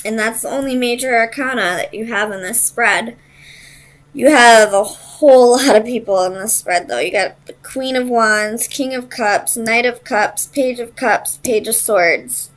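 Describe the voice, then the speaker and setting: A woman's voice: a little high, a little slow, low-quality recording. A woman doing an informal tarot reading, speaking straight to the listener and thinking aloud in loose, tentative phrases. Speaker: tarot reader and host.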